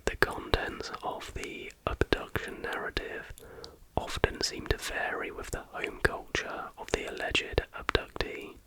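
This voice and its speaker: An ASMR host speaking entirely in a breathy whisper, chatty and informal. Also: a little slow, rough recording. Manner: chatty, informal, joking asides.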